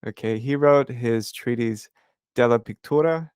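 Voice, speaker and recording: A man's voice: mid-range voice, unhurried, a little mellow, clear, resonant tone, no echo, studio quality. A man hosting a podcast, explaining history in a casual, rambling way with many fillers and restarts. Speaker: podcast host. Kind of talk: casual educational conversation.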